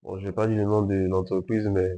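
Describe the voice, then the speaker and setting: A young man from Ivory Coast answers interview questions in a calm, unhurried way. He hesitates often and circles back to finish his thoughts. His voice is on the low side. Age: young adult. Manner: hesitant, calm, frequent fillers and restarts. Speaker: guest entrepreneur.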